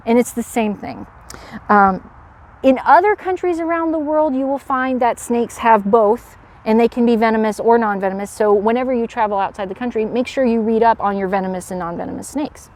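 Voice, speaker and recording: A woman presenting as a park educator to children, with an animated manner, explaining and putting questions to young viewers. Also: a little high. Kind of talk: animated educational read-aloud and animal demonstration.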